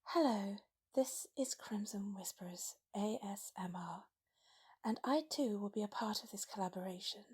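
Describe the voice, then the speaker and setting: A woman speaks as a guest performer in an ASMR introduction. Her voice is extremely breathy and whispery, sitting at a medium pitch. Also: unhurried, a little mellow.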